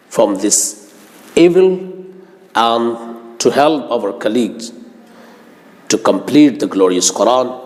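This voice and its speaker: Slow, echoing male religious preacher. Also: mid-range voice, darkish tone. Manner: earnest, formal, deliberate phrasing. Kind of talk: religious sermon with Arabic quotations. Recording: long hall-like reverb.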